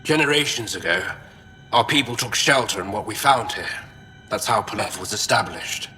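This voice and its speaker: A man's voice: on the low side, animated, normal speed, sharp tone, a little hiss or hum. An actor playing a fussy, irritable male alien historian in a video game, curt and sardonic. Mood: dry, explanatory. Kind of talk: video game dialogue acting.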